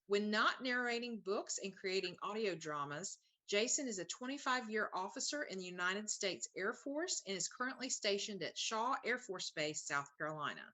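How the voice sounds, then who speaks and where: Bright-voiced woman hosting a live event. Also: mid-range voice, animated, normal speed, hardly any pauses. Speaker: event host. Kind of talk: hosting a live launch event, introductions and chat.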